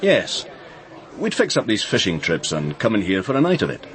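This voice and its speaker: Casting: smoothly dismissive club pal, radio drama, lively intonation, crowd behind. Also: male voice, on the low side, moderate pace. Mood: jovial, evasive. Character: genial, evasive club crony, smoothly dismissive. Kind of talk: naturalistic radio crime drama acting.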